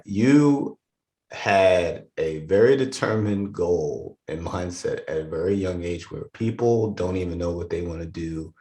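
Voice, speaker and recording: Brief: male podcast host, very low voice, friendly interviewer. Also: darkish tone.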